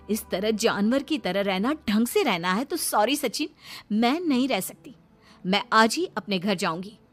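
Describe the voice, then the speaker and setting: A woman's voice: mid-range voice, lively intonation, measured pace, soft background music. A woman voicing an outspoken, indignant young wife in a cartoon story, argumentative and sarcastic. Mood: defiant, sarcastic. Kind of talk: Hindi cartoon story dialogue.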